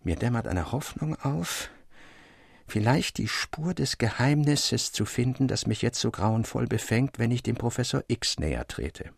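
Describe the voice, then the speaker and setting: Storybook role: earnest, haunted gentleman, read by a man, resolute, middle-pitched, with some gravel. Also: animated, measured pace, no room sound, clean studio sound, exaggerated voice. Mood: troubled, resolute.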